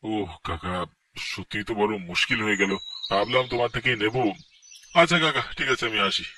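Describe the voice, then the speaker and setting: A man's voice: lowish voice, normal speed, bright, forceful tone, far from the mic, slight background noise. A man voicing a friendly, insistent village man in a cartoon, chatty and emphatic in a familiar way. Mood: polite, concerned.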